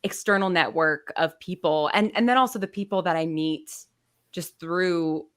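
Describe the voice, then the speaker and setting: Chatty woman, lower voice. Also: normal speed, a bit bright, clean tone. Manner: chatty, frequent "like" fillers. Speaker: guest, audio drama writer. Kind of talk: casual conversation.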